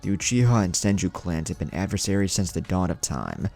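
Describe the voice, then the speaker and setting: A man voicing a hot-headed, defiant captured ninja warrior in an anime story, sharp and taunting. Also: low-pitched, sing-song, brisk, creaky, faint music behind, exaggerated voice. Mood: harsh, defiant.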